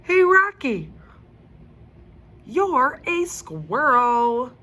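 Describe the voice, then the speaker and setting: Lady doing a cheeky joker kid, a boy, loud and high, drags words out, storybook style. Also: very animated, extremely slow, exaggerated voice. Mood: teasing, excited. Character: playful, cheeky third-grade boy joker.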